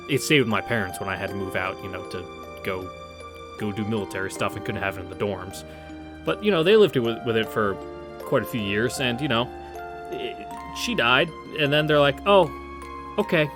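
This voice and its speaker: A young man streams a visual novel in an offhand, sardonic way. His voice is mid-pitched, with clear game music playing behind it. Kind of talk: casual commentary and read-aloud narration.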